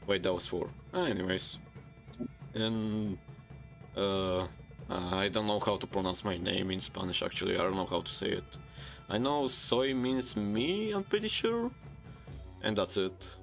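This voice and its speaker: Young Bulgarian man, lowish voice, rambling chat. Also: unhurried, gravelly, a bit bright, faint music behind. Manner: rambling, self-correcting, reads chat aloud. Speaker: podcast host. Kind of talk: casual chat.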